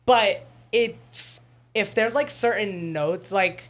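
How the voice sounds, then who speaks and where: A musical theatre actor chatting casually on a podcast, with a voice they call shrill, talking with lots of fillers and animated storytelling. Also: slow. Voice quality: self-described shrill voice.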